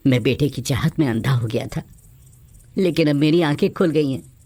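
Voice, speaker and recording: A woman's voice: extremely low pitch, normal speed, mellow, no room sound. A woman voicing a contrite husband who pleads for forgiveness in a cartoon story. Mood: remorseful, pleading. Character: remorseful husband who deserted his family, begging forgiveness.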